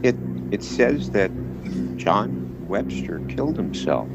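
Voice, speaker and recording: A man's voice: fairly low pitch, very animated, takes it slowly, dull audio, over loud music, exaggerated voice. A man playing a henpecked, stammering, blustering Hollywood film producer in a 1940s radio drama style. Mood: flustered.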